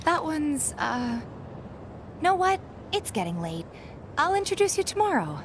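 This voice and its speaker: An actress playing a friendly, caring young woman in a video game, speaking gently and conversationally with slight hesitations. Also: sits high, big pitch swings, measured pace, noisy background. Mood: warm, helpful. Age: young adult. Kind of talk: video game cutscene voice acting. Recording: background music under dialogue. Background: game music.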